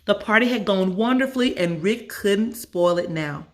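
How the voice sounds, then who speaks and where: A woman's voice: medium pitch, lively intonation, normal speed. A woman storyteller narrating a children's story from a script.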